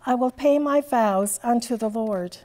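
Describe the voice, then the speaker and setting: A woman in her sixties leading a church service as priest, reciting psalms and prayers in a measured, formal way. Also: on the high side, a crowd in the background. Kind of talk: liturgical reading. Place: large church sanctuary with stained glass. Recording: long church reverb.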